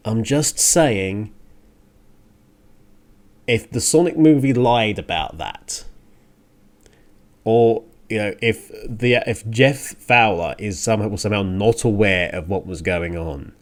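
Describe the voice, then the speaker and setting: A man hosting a radio show, talking in an earnest, emphatic monologue with deliberate pauses and repeated phrases. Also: mid-range voice, darkish tone. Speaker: radio show and podcast host. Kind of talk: spoken opinion monologue on a music radio show.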